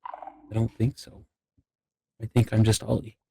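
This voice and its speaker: A man's voice: fairly low pitch, completely flat delivery, a little slow, gravelly, warm tone. A man playing a casual, wryly teasing young courtier with a hesitant delivery in an improvised Minecraft roleplay. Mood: hesitant, explaining.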